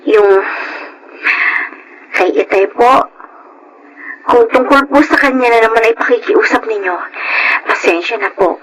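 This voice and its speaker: An actress playing a resentful, outspoken daughter in a Filipino radio drama, blunt and reproachful. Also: female voice, fairly high pitch, a little slow, pauses often, natural voice, rough recording. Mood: hurt, resolute.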